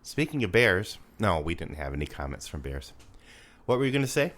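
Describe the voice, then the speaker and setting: A man's voice: sits low, very animated, fairly quick, fairly bright tone. A man co-hosting a casual podcast, reading listener comments with a joking manner, laughing often, with a slightly nasal voice. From Rapid City, South Dakota. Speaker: podcast co-host. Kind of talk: casual chat.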